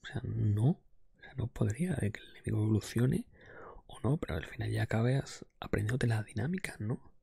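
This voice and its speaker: Guy from spain doing whispered asmr podcast, super breathy, lowish, casual rambling, close mic. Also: expressive, moderate pace, no echo. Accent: Spain Spanish. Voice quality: breathy, mostly whispered, soft-spoken. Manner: informal, rambling, opinionated. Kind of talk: ASMR, whispered casual video game review. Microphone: close microphone.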